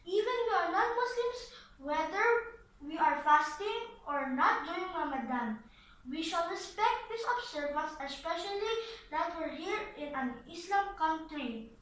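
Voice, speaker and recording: Brief: woman as eager young puppet boy, piercingly high, earnest recited lines, very echoey room. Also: expressive, measured pace, a bit bright, a little hiss or hum, full character voice. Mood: earnest, explaining. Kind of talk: scripted puppet show dialogue. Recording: roomy echo, slightly distant mic.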